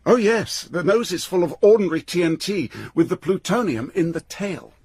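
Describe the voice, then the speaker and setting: A man's voice: sits high, animated, normal speed, darkish tone, slightly rough, no echo, clean studio sound. A man playing an eager, apologetic weapons boffin in a radio drama, with an English accent and a fussy, hesitant, deferential way of explaining. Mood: keen, explanatory. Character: eager, apologetic male weapons boffin who loves technical detail.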